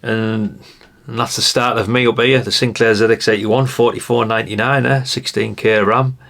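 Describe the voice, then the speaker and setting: A man in his fifties with a lowish voice and plenty of gravelly creak. He chats casually and nostalgically as he browses, wandering from thought to thought as if thinking aloud for the viewer. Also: steady tone, moderate pace, a bit bright. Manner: rambling, chatty, musing aloud to the viewer. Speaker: retro collector presenting a video.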